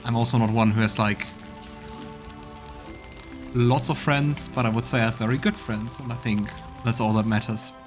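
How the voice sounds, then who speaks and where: A man's voice: mid-range voice, fairly even intonation, normal speed, long pauses, over music. A man hosting a let's play, commenting casually in a reflective, conversational way.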